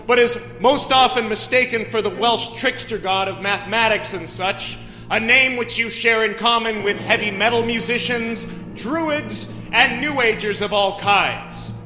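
A man's voice: unhurried, bright, forceful tone. A man playing a pompous, thundering accuser and self-proclaimed elder in a theatrical mock trial. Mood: stern accusing. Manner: booming, pompous, declamatory. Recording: echoey hall with music behind.

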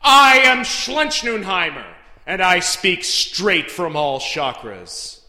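High-pitched forceful male voice, pompous indignant elder, slow declamation, mock-trial audio drama, echoey hall. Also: expressive, heavily acted. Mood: indignant, proud. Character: thundering pompous accuser, self-proclaimed elder demanding respect. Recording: echoey hall with music behind.

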